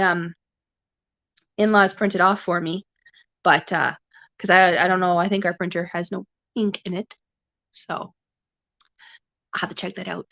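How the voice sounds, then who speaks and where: A woman's voice: medium pitch, pushed and bright, no echo. A Canadian woman in her forties chatting casually with viewers on a livestream, rambling with many restarts and fillers. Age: 40s. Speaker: livestream host. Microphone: webcam or phone.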